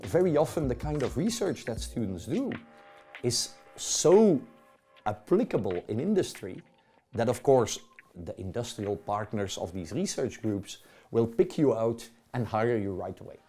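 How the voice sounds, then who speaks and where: A man's voice: medium pitch, unhurried, slightly rough, faint music behind. A middle-aged man, a professor, explaining things emphatically in an interview. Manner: emphatic, lively rhetorical delivery. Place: office with bookshelves.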